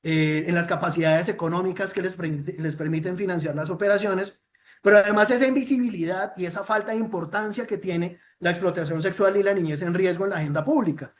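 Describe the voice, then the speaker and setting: Colombian man, high-pitched, earnest formal presenter, fillers and restarts. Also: brisk. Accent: Colombian Spanish. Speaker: presenter of a child protection report.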